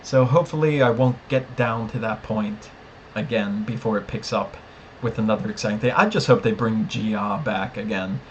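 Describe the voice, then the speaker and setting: A man's voice: fairly low pitch, moderate pace, dull audio. A man co-hosting a podcast, giving opinionated, emphatic fan commentary in a casual review chat. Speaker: podcast co-host. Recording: clear background noise.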